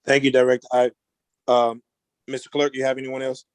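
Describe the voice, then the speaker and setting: A man chairing a formal virtual meeting in a halting, courteous way. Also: mid-range voice, monotone, no echo.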